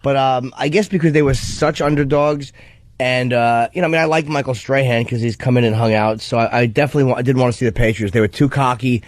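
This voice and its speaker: A man's voice: medium pitch, fairly even intonation, fast talker, no echo. A man co-hosting a radio show, bantering casually about sports.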